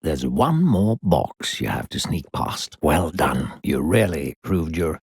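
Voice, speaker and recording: A man playing a sinister, coaxing disembodied narrator who guides a child in a video game, gravelly, slow, theatrical and condescending. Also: very animated, no room sound, full character voice. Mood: instructive, condescending. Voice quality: deep, gravelly. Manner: smooth-talking, condescending, theatrical, slow and deliberate.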